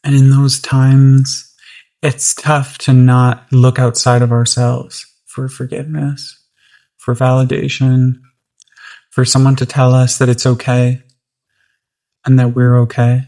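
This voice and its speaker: Meditation guide: mid-pitched, very flat delivery, gentle and soothing, unhurried with roomy gaps between phrases.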